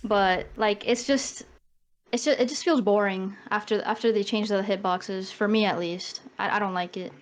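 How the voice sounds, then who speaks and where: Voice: mid-range voice, fairly even intonation, normal speed, a little hiss or hum. A podcast guest in their late twenties chatting casually about gaming. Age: late 20s. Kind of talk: casual chat.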